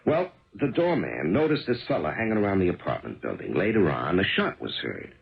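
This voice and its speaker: An actor playing a hard-working, confident police detective husband, colloquial and emphatic, in a 1970s radio drama. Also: male voice, sits low, sing-song, fairly quick, vocal fry, full character voice. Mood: confident, explaining. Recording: dull, muffled old radio recording.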